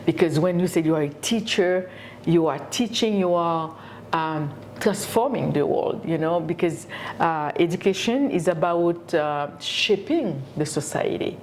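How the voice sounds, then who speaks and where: A middle-aged woman from Haiti, an education professor, answering interview questions in a halting way with many fillers and repeated words. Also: fairly low pitch, lively intonation, a little mellow, a little hiss or hum. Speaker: education professor and community leader.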